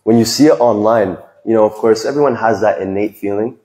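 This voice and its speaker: A man's voice: on the low side, brisk, dark tone. A young man giving an earnest, heartfelt farewell speech from a stage, speaking into a handheld microphone. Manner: earnest, reflective, speaks from the heart with small restarts. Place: large decorated hall with stage. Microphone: handheld microphone, recorded from the audience. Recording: echoey hall.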